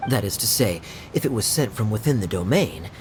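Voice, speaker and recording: A man voicing a suave, playful knight in a video game, with a smooth, formal way of speaking. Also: fairly low pitch, lively intonation, brisk, noisy background, close to an everyday voice. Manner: smooth, teasing, formal phrasing. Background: game music.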